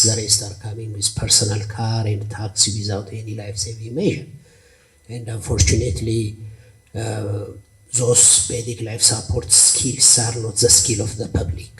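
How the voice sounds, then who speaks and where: A man's voice: on the low side, far from the mic, poor audio. A man from Ethiopia with an Ethiopian accent, speaking earnestly at a conference with many hesitations. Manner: earnest, hesitant with filler sounds. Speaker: panel speaker. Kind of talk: conference talk.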